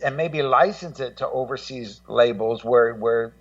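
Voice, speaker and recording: A middle-aged American man talking casually as a guest co-host in a radio discussion, in a rambling, halting way full of "you know". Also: mid-range voice, distant mic, muffled recording. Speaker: guest co-host and rock music journalist.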